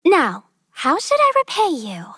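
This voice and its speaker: Very high-voiced playful young artist girl. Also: huge pitch swings, measured pace, fairly bright tone, no room sound, studio quality, full character voice. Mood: cheerful, teasing. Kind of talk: video game character voice lines.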